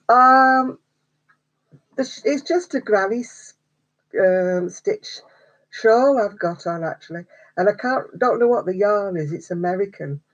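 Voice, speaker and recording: A woman's voice: fairly low pitch, slightly rough. An older woman hosting a casual live stream, chatty and greeting viewers by name between little laughs. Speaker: crochet vlogger. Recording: slightly dull home stream audio.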